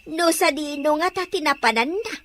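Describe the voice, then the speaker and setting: A woman's voice: sits high, moderate pace, noisy background, close to an everyday voice. A woman playing a cheeky, gossipy household maid in a radio drama, with a teasing manner and a Filipino accent.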